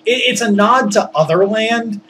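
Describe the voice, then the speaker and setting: A man telling a story casually, rambling and halting as he searches for words and digresses. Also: high voice, a bit bright, slight background noise. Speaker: storyteller, former tabletop game master.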